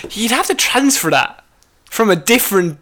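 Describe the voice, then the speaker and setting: A man's voice: sits high, sing-song, moderate pace, sharp tone. A man co-hosting a comedy podcast in casual, sweary banter, often breaking into laughter as he reads out odd news.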